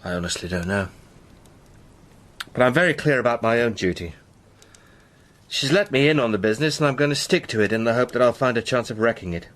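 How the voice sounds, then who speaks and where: An actor playing an earnest, impassioned British gentleman spy with a literary turn of speech in a radio drama. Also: medium pitch, expressive, fairly quick, long pauses, lightly acted. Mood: resolute. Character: Sandy, fervent eloquent British gentleman spy.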